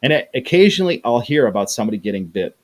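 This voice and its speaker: A man's voice: medium pitch, big pitch swings, very fast, darkish tone. A middle-aged man chatting casually on a livestream, often repeating words as he talks. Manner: chatty, repeats words, acts out quoted voices. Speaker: livestream host reading chat.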